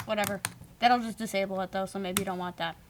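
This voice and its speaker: A woman's voice: medium pitch, fairly even intonation, fast talker, slight background noise. A woman helping a colleague with code, giving brief casual instructions.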